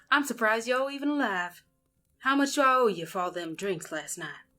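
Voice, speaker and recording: A mysterious Cajun healer woman, played by an actress, folksy and playful in a drawl. Her pitch swoops up and down freely, in the manner of an audio drama. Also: lively intonation, unhurried, sharp tone, faint music behind. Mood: cheerful, sly.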